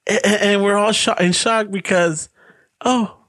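A man's voice: high voice, steady tone, a little slow, a bit bright, no room sound, clean studio sound. Hoge stem, man van midden veertig. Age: 40s. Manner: swearing, rambling, says "like" and "dude" a lot. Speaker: podcast co-host. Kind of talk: casual profane chat, mixing English and Spanish.

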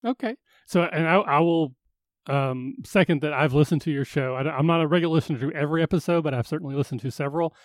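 A man's voice: on the high side, quick pace, no echo, studio quality. Upbeat Kentucky man hosting a podcast. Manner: upbeat, friendly, stumbles over words. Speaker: podcast host. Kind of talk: casual interview.

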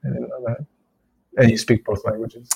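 A man's voice: mid-range voice, flat delivery, moderate pace, vocal fry, a little mellow, husky, no room sound. A man hosting a podcast interview from a hotel room, speaking through a Bluetooth headset mic. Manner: hesitant, restarts questions. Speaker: podcast host. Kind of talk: interview conversation.

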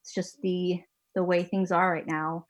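A woman's voice: fairly low pitch, flat delivery, measured pace. Woman hosting a podcast from home. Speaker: podcast host and managing editor. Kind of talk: scripted podcast interview. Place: home basement.

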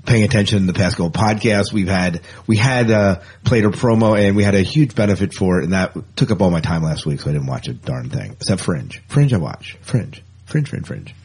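A man's voice: deep voice, animated, fairly quick, hardly any pauses, darkish tone, breathy edge. A man co-hosting a casual sci-fi fan podcast, rambling and jokey, often repeating words for emphasis. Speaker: podcast co-host.

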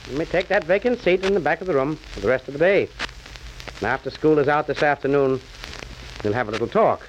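An actor playing a calm, firm schoolteacher, measured and authoritative, in 1940s radio acting style. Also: male voice, fairly high pitch, lightly acted. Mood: kindly firm. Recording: muffled, crackly 1940s radio recording.

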